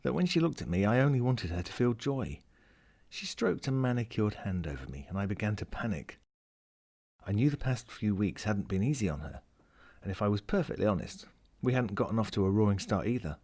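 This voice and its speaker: A man's voice: on the low side, big pitch swings, fairly quick, darkish tone. A man voicing a lonely, wry lost ring as he reads a first-person short story in a dramatic, melancholic way. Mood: wistful. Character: lonely, world-weary, wry sentient ring lost at sea.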